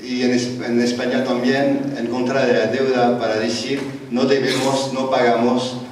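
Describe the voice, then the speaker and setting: A man's voice: medium pitch, level tone, moderate pace, slight background noise. A man with a French accent speaking Spanish at a conference panel, hesitant, with many fillers and restarts. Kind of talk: conference talk. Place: large conference hall. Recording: echoey hall, distant mic.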